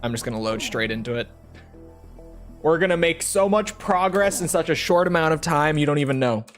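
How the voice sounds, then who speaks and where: Chatty man streaming a game, slightly rough voice. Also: a little high, expressive, brisk, faint music behind. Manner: upbeat, joking, chatty. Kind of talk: casual live-stream chat over gameplay.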